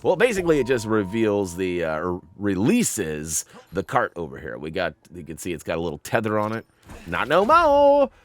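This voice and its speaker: A man's voice: lowish voice, huge pitch swings, moderate pace. Upbeat gaming guy narrating a walkthrough, a bit nasal and stuffed up, voice bouncing all over. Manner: upbeat, chatty, playful asides. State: stuffy, nasal from irritated sinuses. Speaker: gaming YouTuber. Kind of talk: game walkthrough commentary.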